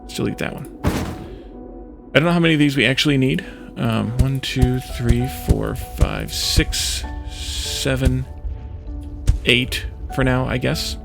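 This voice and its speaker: A man's voice: medium pitch, measured pace, vocal fry, over music. A man giving casual let's play commentary, thinking aloud in a hesitant, self-questioning way.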